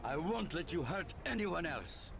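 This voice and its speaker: A man's voice: a little high, sing-song, measured pace, creaky, full character voice. An actor playing a protective, defiant man facing a monster in a video game, speaking emphatically. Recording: music behind the voice.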